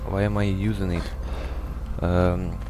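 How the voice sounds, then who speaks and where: A man with a Russian accent, native Russian speaker, giving a hesitant, halting game guide commentary full of filler sounds. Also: sits low, little pitch movement, heavy background noise. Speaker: gamer giving a build guide.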